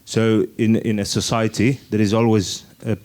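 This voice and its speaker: A man with a fairly low voice speaks in a group discussion. His delivery is halting, with frequent restarts and fillers as he works his way toward his point. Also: flat delivery, darkish tone. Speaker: festival workshop participant. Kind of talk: spontaneous discussion remarks.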